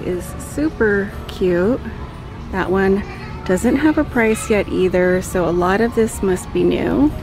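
A woman vlogger walking a store aisle with her phone, calmly listing decor items and their prices. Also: mid-range voice. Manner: calm, listing prices item by item. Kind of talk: shop-with-me narration. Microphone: handheld phone camera.

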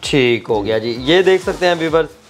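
Young pakistani guy hosting, highish voice, asks stuff and repeats it to viewers, bit of music under. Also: expressive, a little fast. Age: young adult. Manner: repeats and confirms answers for viewers. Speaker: host of a product video channel. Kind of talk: presenting and interviewing on a factory visit. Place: indoor room stacked with cardboard boxes.

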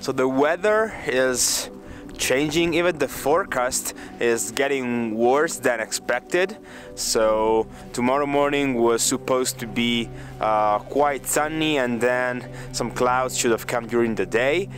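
Slow-paced young man vlogging outdoors. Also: medium pitch, music in the background. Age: young adult. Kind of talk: casual vlog talk to camera. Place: outdoors on an open mountain hillside.

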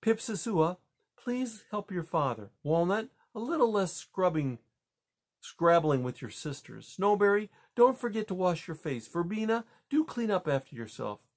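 A man reading a story aloud and voicing a small, caring female woodland animal with a chirpy, squeaky voice. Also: sing-song, moderate pace, heavily acted. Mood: motherly.

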